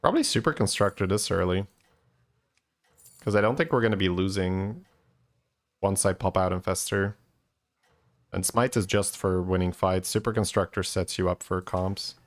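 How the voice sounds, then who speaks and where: A man, a Dutch speaker, giving casual gameplay commentary as a streamer, thinking aloud in a low-key, musing way. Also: moderate pace, pauses often. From Belgium.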